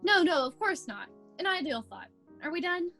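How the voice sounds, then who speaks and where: A woman playing a proud, defensive female miser who softens into regret, in a theatrical radio play recorded over a video call. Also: very high-pitched, sing-song, normal speed, soft background music, heavily acted.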